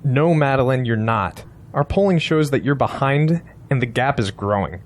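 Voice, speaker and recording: A man's voice: medium pitch, expressive, moderate pace, lightly acted. A man playing a blunt, persuasive political strategist in an audio drama, insistent and lecturing.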